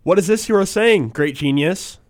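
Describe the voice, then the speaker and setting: A man's voice: high-pitched, lively intonation, moderate pace, dry recording, studio quality, voice pushed up. A young man voicing a poor old fisherman in a group reading, pleading and frightened, in a raised, strained voice. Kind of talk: student reading a story aloud as a role.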